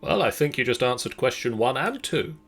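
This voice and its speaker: A man's voice: mid-range voice, expressive, brisk. A man joking wryly in casual banter as a player in a storytelling game. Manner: joking, wry. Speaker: player in a group gamebook session.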